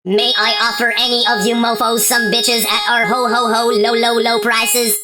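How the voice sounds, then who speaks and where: Loud music runs under a fairly high-pitched voice. A woman acts out a crude, slangy, swearing slacker from a comic sci-fi radio play. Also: little pitch movement, normal speed, natural voice. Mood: belligerent.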